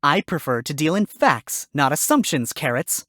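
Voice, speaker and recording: A man's voice: a little high, big pitch swings, moderate pace, sharp tone, dry recording. A man doing a sarcastic fox lawyer. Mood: smug. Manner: dry sarcastic quips. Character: smug sly male fox defense lawyer. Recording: music playing under voice.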